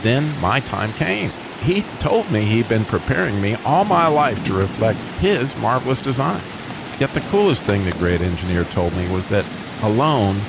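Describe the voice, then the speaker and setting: A man's voice: lowish voice, gravelly, noisy background. A man voicing a humble, sincere starter motor, telling his story earnestly and reflectively in a radio drama. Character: humble, grateful male starter motor, sincere underdog hero.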